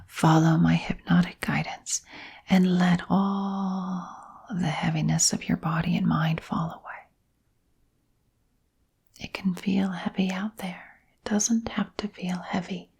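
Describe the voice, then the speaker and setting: A woman hypnotherapist guiding listeners into sleep hypnosis in a soft, breathy whisper, with soothing phrasing and gentle pauses. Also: fairly low pitch. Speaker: hypnotherapist and channel host.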